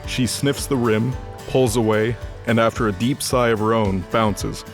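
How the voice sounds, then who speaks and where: A man's voice: fairly low pitch, fairly even intonation, measured pace, over music. A man narrating a scripted audio drama as storyteller.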